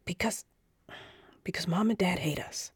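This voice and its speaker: A woman playing a hurt, stubborn but affectionate younger sister in an audio drama, with a husky, breathy voice. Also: on the low side, normal speed, no echo, studio quality. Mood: hurt, doubtful.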